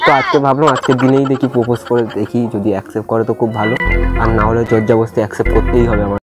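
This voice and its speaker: A man's voice: medium pitch, flat delivery, rapid-fire. A man with a West Bengal accent making a prank call in casual talk, pleading and insistent, often repeating himself. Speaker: prank caller. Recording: loud music under the call. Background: music.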